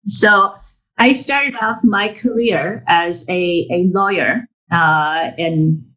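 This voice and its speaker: A woman, a corporate executive giving a measured self-introduction in an interview over a remote call. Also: on the low side, pushed and bright, rough recording. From United States. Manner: measured, composed, with fillers between phrases. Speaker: corporate executive, guest speaker. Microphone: remote call from abroad.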